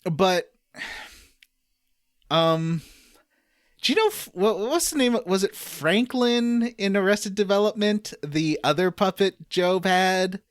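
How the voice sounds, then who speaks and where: A man's voice: high-pitched, expressive, slow, dry recording, studio quality. A man hosting a casual group podcast, telling stories in an enthusiastic, rambling way. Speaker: podcast host.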